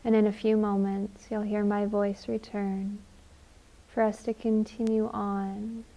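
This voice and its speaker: A woman gives gentle guided meditation instructions at a very unhurried pace. Her tone is mellow and relaxed, with little melodic movement. She leaves long, soothing pauses between phrases. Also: medium pitch, highs cut off.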